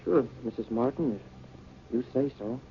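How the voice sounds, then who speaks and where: A man's voice: mid-range voice, moderate pace, husky. An actor playing an eager, polite young grocery delivery boy with an earnest manner, in 1950s radio drama style. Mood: wistful. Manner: earnest, boyish. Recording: dull, band-limited 1950 radio recording.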